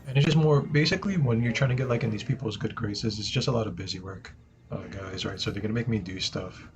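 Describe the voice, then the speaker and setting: A man with a naturally low voice chatting casually as he streams a game, often asking "right?" and addressing viewers as guys. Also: quick pace. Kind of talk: casual gameplay commentary. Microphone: desk mic he moves around.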